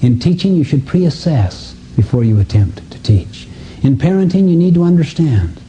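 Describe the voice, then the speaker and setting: A man's voice: a little high, big pitch swings, normal speed, dark tone, a little hiss or hum, rough recording. A man giving a motivational seminar talk to a live audience, emphatic, with rhetorical questions and repetition. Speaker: seminar speaker.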